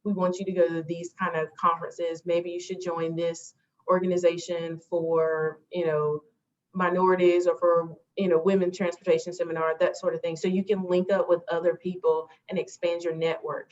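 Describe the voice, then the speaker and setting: A woman's voice: on the low side, level tone, normal speed, darkish tone. A woman manager on a panel, explaining steadily with some fillers over a video call. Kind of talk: casual panel advice. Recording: dull video call audio with faint noise.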